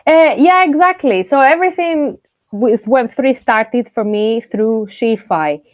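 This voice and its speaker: A woman's voice: fairly high pitch, animated, unhurried, no echo, highs cut off. A woman from Greece, a journalist and content creator, chatting casually as a guest with many fillers and restarts. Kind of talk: casual interview chat.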